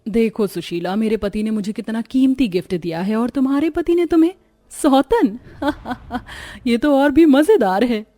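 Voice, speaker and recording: A woman's voice: on the high side, expressive, measured pace, mellow. A woman voicing a boastful, greedy, spoiled young wife in a cartoon story, with a haughty, demanding manner. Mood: mocking.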